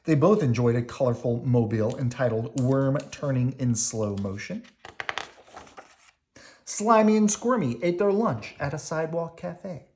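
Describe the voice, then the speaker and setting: A woman with a low voice narrates a children's story. She reads slowly and expressively, with animated pitch. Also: a little hiss or hum. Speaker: storybook reader.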